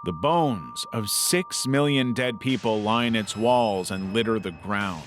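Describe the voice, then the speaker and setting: A man narrating a scripted documentary-style piece in a measured, serious way. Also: lowish voice, lively intonation, background noise. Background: background music.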